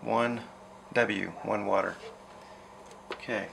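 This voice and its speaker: A middle-aged man with a gravelly voice giving a casual, halting hands-on demonstration at an indoor desk. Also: fairly low pitch. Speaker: amateur radio hobbyist demonstrating gear. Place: small indoor radio desk. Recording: steady noisy background hiss and ambience.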